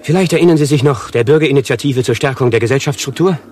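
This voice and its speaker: An actor playing a pompous, self-important avant-garde playwright in a satirical radio play, speaking in long-winded, declamatory sentences with precise diction. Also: male voice, medium pitch, fast, darkish tone, husky, lightly acted. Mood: pompous, lecturing.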